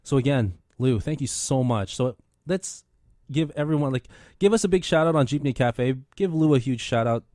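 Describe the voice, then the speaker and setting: A man's voice: mid-range voice, moderate pace, dark tone, dry recording, studio quality. A man hosting a casual radio interview, talking eagerly with many restarts. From Philippine heritage. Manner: enthusiastic, rambling with many restarts. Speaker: radio show host.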